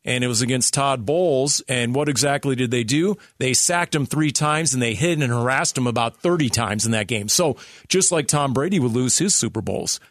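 A man's voice: medium pitch, moderate pace, dry recording. Male sports radio co-host, dry studio sound. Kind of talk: sports analysis talk.

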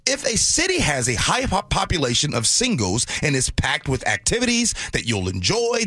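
A man hosting talk radio, bantering in an animated, colloquial way and putting his opinions straight to his co-hosts. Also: a little high, husky, dry recording, studio quality. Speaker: radio talk show host.

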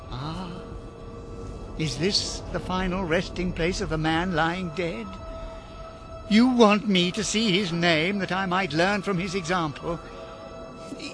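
A man playing an excitable, desperate old miser in a radio drama, with a theatrical, breathless, stammering delivery. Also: high-pitched, heavily acted. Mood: fearful, hushed. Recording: music under studio radio drama.

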